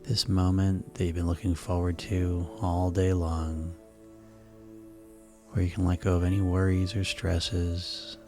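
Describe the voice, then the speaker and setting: A man guiding a sleep hypnosis session in a slow, soothing, repetitive way. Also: deep voice. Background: music.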